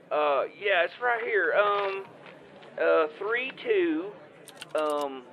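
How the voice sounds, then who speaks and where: A man's voice: sits high, animated, a little hiss or hum, full character voice. A man playing a devout, flustered farmer with a Southern US accent, stammering and rambling through a phone call in an improvised comedic audio drama. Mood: hesitant, fumbling. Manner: rambling, stammering, halting, folksy. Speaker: caller.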